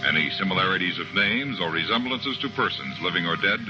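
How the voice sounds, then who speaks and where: A man announces closing credits in a formal 1950s broadcast manner, his lowish voice rising and falling. The old recording sounds muffled, with music running behind him. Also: expressive, brisk. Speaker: radio announcer.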